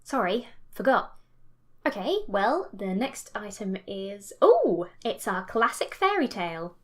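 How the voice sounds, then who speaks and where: A woman playing a brisk, exasperated show presenter in an audio comedy, impatient in manner. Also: medium pitch, sing-song, fairly bright tone.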